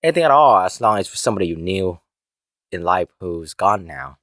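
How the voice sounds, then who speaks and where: A man's voice: sits low, sing-song, brisk, a bit bright, no echo, studio quality. A man reading a scripted prompt to listeners as a podcast announcer.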